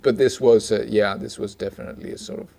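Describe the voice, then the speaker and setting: A man discusses film casually as a podcast co-host, in a hesitant, halting way. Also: lowish voice, fairly even intonation, creaky, warm tone. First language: Finnish. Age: 40s. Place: small indoor stage with curtains, seated at a table.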